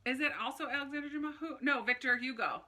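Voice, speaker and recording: A woman chatting casually to camera like a book vlogger, in an animated, informal way with little self-interrupting asides. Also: high-pitched, quick pace. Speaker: book review video host. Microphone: phone camera recording.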